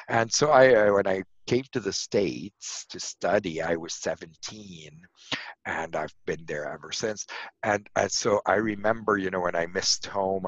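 Deep husky older man on a video call. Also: no echo. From Lebanon. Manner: warm, reflective, with frequent fillers and restarts. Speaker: lecturer and radio host being interviewed. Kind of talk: casual conversational interview. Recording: dull lo-fi video call audio.

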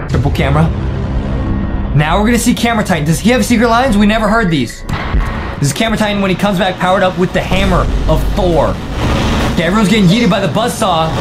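A young man hosting a reaction video, giving hyped live commentary full of exclamations, slang and repeated catchphrases. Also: high voice. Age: young adult. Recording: loud music under the voice.

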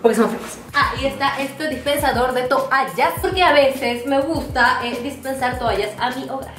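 A woman's voice: high-pitched, moderate pace, a bit bright. A woman in her twenties with a Peruvian accent, chatting casually and playfully with mock seriousness as she gives a house tour. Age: 20s. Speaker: vlogger giving a house tour. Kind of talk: casual, joking house-tour chat to camera. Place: indoors, small hard-walled rooms of a house. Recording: echoey rooms on a camera mic. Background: clear background music.

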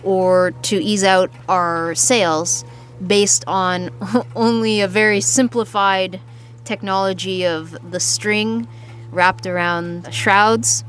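Woman explaining sailing on a boat deck. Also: mid-range voice. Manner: explains with hand gestures, relaxed and practical. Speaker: sailing vlogger explaining basics. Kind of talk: casual explanatory talk to camera. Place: outdoors on the deck of a sailboat at sea. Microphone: handheld furry lavalier held near the mouth. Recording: faint wind and water noise.

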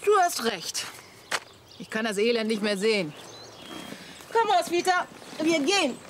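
A woman's voice: fairly high pitch, sing-song, unhurried, noisy background. An actress playing a dry, discontented middle-aged wife and mother in a radio comedy, wry in manner. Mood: fed up. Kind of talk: radio comedy drama acting.

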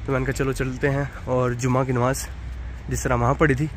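A man's voice: mid-range voice, level tone, brisk. A young man chatting casually into his phone as he walks around the city, upbeat and talkative. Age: young adult. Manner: chatty, upbeat narration to camera. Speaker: vlogger. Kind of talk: casual travel vlog. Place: outdoors on city streets. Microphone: phone held at arm's length. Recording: noisy outdoor street recording. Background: traffic and street noise.

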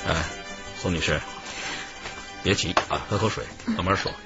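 Actor as a low-voiced patient police detective. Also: male voice, moderate pace, close to an everyday voice. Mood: patient, coaxing. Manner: coaxing, methodical questioning. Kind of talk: radio drama acting. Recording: muffled, faint music behind.

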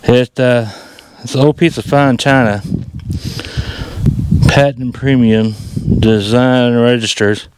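A middle-aged man speaks with a Southern US accent in a folksy, easygoing manner at a medium pitch. He narrates casually like an outdoor vlogger on an action camera. Clear noise runs behind the voice. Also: fairly even intonation, slow, long pauses. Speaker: metal detecting vlogger. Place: outdoors in open farm fields. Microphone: handheld or body-worn action camera.

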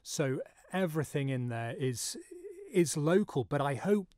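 Radio guest, man, enthusiastic and earnest, fairly high voice, close dry studio sound. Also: expressive, measured pace, a little mellow, no room sound. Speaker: guest, writer and actor. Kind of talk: radio interview. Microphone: studio microphone.

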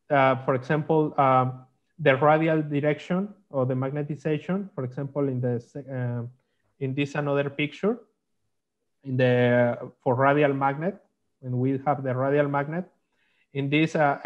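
Man, halting phrasing, technical explainer, muffled online-call sound. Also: mid-range voice. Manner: halting, non-native English phrasing. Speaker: guest, magnetics consultant. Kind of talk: technical slide presentation. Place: remote video call. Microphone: video call microphone.

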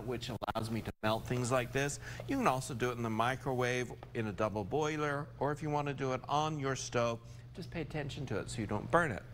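A man's voice: medium pitch, fairly bright tone, slight background noise. An older man with an American accent giving a casual, chatty, folksy cooking demonstration as a TV chef. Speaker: TV chef, cooking segment host. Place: TV studio kitchen, indoors.

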